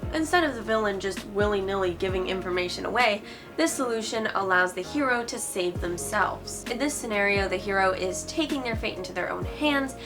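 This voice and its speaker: Casting: female online host, lower-middle to middle pitch, informal, sarcastic commentary style, music clearly behind the voice. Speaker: host of a writing advice channel. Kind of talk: opinionated video commentary, semi-scripted.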